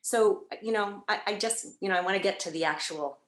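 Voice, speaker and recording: A woman's voice: mid-range voice, fairly quick, a bit bright. Enthusiastic woman audiobook narrator, gushing chat with restarts, roomy echo. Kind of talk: casual interview chat.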